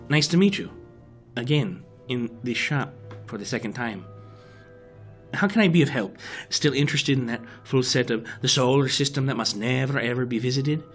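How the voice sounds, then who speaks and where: Guy doing shifty shopkeeper in audio drama, stammery, smarmy, mid pitch, music under it. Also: lightly acted. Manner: ingratiating, jittery, stammering. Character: shady, nervous male shopkeeper selling contraband to tourists.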